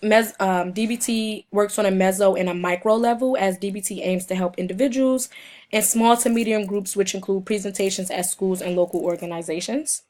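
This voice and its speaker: Young woman, hoarse with a cold, mid-pitched, reading a class presentation off her notes. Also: steady tone, a little mellow. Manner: reads from prepared notes, halting in places. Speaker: student presenter.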